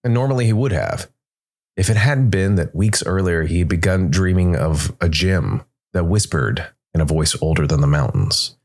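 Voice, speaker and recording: A man's voice: low voice, lively intonation, moderate pace, a little mellow. A man hosting a podcast and reading scripted story narration.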